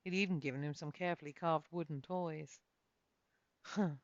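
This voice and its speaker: A woman's voice: low-pitched, steady tone, quick pace, dry recording. Dramatic woman storyteller, muffled sound. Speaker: author reading own novel. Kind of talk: dramatic first-person story narration.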